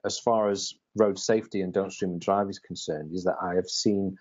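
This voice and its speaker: Deep-voiced man in his forties, hesitant interview guest, muffled call audio. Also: fairly even intonation, normal speed, a little mellow. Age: 40s. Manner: hesitant, with many ums and repeated words. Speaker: police sergeant guest.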